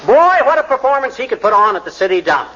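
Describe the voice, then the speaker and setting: A man's voice: very high voice, big pitch swings, quick pace, bright, forceful tone, heavily acted. An American man playing a blustery, folksy middle-aged husband who grumbles and wisecracks in slangy 1940s radio comedy style. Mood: wisecracking. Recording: noisy, dull 1940s radio recording.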